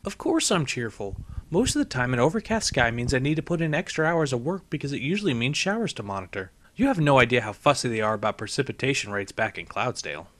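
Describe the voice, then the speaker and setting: A man's voice: mid-range voice, sing-song, fast, a bit bright. A man voicing a brash, cheerful young female pegasus who gripes, in a storybook reading. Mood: cheerful griping. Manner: brash, griping.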